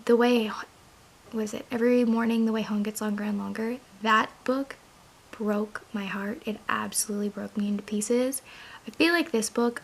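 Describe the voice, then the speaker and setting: A young woman with a hoarse, raspy voice, chatting casually about books as a vlogger, with plenty of filler words. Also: fairly high pitch. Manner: chatty, rambling, many "like" fillers. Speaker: book vlogger. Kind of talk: casual chat to camera.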